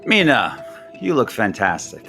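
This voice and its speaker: Middle-aged boardroom guy, low creaky voice, diplomatic, soap opera style. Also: huge pitch swings, unhurried, sharp tone, faint music behind, heavily acted. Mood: cordial, flattering. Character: smooth, diplomatic male business board member. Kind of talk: audio soap opera acting.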